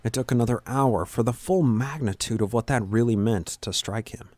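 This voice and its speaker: A man's voice: mid-range voice, moderate pace, a little mellow, dry recording. A man narrating a scripted fantasy story.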